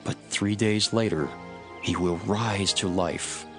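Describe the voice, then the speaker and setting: An actor playing an authoritative, earnest holy teacher in a dramatised scripture recording. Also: male voice, lowish voice, slow pace. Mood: calm, instructing. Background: music.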